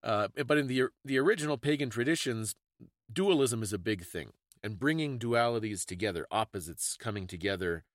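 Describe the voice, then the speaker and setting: A man's voice: on the low side, expressive, brisk, dry recording, studio quality. A man hosting a conversational talk, explaining things in an informative, scholarly way. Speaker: podcast host explaining folklore.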